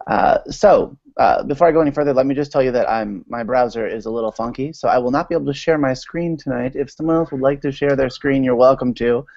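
A chatty American man in his thirties presents informally over a video call. His voice sits at a middle pitch, and the sound is close and dry but slightly lo-fi. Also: fast talker, gravelly, hardly any pauses, a little mellow, no room sound. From United States, Maryland. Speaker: guest speaker, literacy advocate. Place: small room at home, indoors. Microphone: video call with earphones. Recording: dull lo-fi video call audio.

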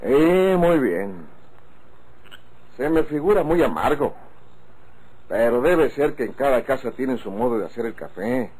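Animated Mexican rancher Don Otilio. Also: male voice, mid-range voice, unhurried, pauses often, breathy edge. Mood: chatty. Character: Don Otilio, chatty wary male rancher. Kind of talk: golden-age Mexican radio drama acting. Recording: faintly noisy old radio recording.

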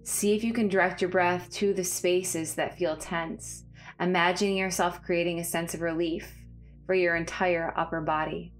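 A woman leading a guided body scan meditation in a calm, soothing, measured way, leaving pauses between phrases. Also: on the low side. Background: background music.

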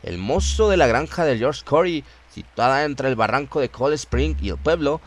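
A man's voice: medium pitch, expressive, moderate pace. A man reading a scripted horror story as its narrator. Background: music behind the voice.

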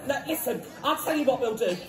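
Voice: medium pitch, expressive, fast, lightly acted. An actor playing a brash, jokey pantomime mum on stage, exaggerating and playing up to the audience. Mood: cheeky. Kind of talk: live pantomime stage acting. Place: indoor theatre stage. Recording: echoey theatre stage, music and crowd.

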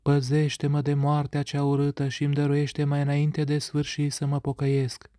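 Man, reader of liturgical prayers: near-monotone recitation, mellow and dry, close to the mic. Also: a little high, no echo.

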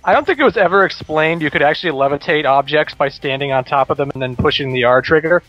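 A man with a slightly high voice chats casually as a game co-commentator. He explains details to his friends. The sound is dull and lo-fi, with faint game music. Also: fast talker, dry recording. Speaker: gaming co-commentator. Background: video game music.

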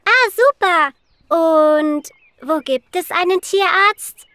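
A woman's voice: very high voice, slow, sharp tone, no echo, full character voice. A woman voicing a curious, caring little girl who wonders aloud expressively in a German children's cartoon. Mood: puzzled.

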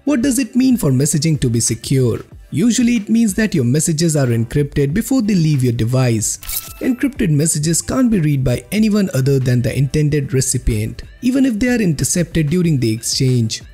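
A man's voice: on the high side, sing-song, normal speed, warm tone, slightly rough. A man hosting a tech video, reading scripted narration in a sing-song delivery. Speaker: host of a tech review channel. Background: background music.

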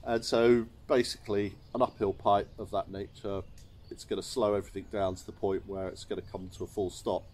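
A man's voice: fairly low pitch, level tone, a little fast, a little mellow, a little hiss or hum. A middle-aged English man, a chateau owner, telling a wry, understated story to camera while seated in a sunny garden courtyard. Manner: wry, understated, chuckling at his own mishaps.

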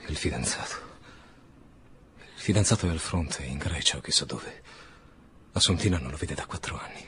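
An actor playing a proud, driven police commissioner in an Italian wartime radio drama, with an emphatic, commanding manner. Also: male voice, a bass voice, lively intonation, moderate pace, sharp tone, heavily acted. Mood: bitter, self-reproaching. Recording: noisy, with faint vehicle ambience.

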